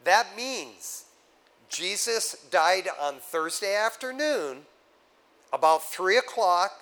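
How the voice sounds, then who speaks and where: A man's voice: sits high, takes it slowly. A man preaching a sermon, explaining scripture step by step and checking in with his listeners with casual asides. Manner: animated teaching with asides to the congregation. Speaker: pastor.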